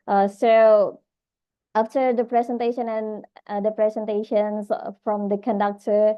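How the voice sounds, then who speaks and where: A woman with an Indonesian accent hosting an online class informally on a video call, halting as she searches for words. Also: mid-range voice, flat delivery. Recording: dull lo-fi video-call audio.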